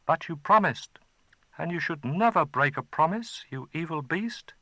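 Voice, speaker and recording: A man's voice: on the high side, animated, a little slow, sharp tone, no room sound, pitched above the performer's own voice, full character voice. A man voicing a brave young boy in a storybook reading, earnest and defiant.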